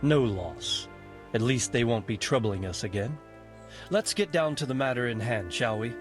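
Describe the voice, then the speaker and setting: An actor playing an ageing medieval nobleman in a video game, practical, wry and quietly commanding. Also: mid-range voice, soft background music, lightly acted. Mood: brisk, commanding. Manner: measured, authoritative, wry. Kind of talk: video game cutscene acting.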